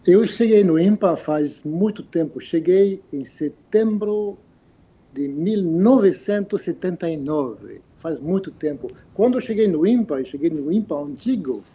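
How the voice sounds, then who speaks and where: A French man in his sixties with a French accent, speaking Portuguese as a second language in a relaxed interview, pausing to search for words. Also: a little high, breathy edge, rough recording. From France. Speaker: interviewed mathematician. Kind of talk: casual interview.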